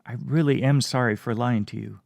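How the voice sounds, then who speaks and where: Storybook elf woman, gentle and amused, read by a man, gravelly and dry. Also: medium pitch, fairly even intonation, a little fast, no room sound, natural voice. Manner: soft, lightly amused. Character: gentle female elf, young-looking, wry and kind.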